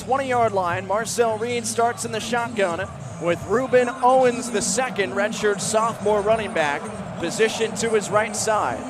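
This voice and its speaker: A man calling live football play-by-play for radio, an excitable announcer given to shouting on big plays. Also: high voice. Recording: live stadium broadcast with faint noise.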